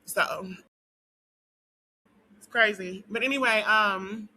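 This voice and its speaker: A woman vlogger talking casually to her viewers in a rambling, profane rant full of "um"s, her voice dry and hoarse as it gives out. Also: mid-range voice, slow, pushed and bright, poor audio. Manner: rambling and profane, with frequent "um" and "what do you call it".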